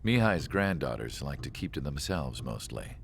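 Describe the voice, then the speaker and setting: A man playing a calm, reflective narrator in a game cutscene, telling the story in a measured, wistful way. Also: very deep voice, creaky, a little hiss or hum, heavily acted.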